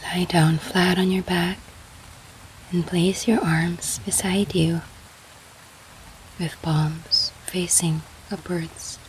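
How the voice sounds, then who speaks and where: A woman leading a guided sleep meditation in a soft, breathy, half-whispered, soothing manner. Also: on the low side, level tone, takes it slowly, long pauses, slight background noise, rough recording. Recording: faint rain sound behind. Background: soft rain.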